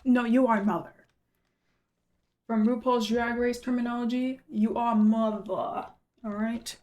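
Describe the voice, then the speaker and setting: Playful woman reacting, higher voice. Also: steady tone, measured pace, dark tone. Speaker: reaction video host. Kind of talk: casual reaction commentary.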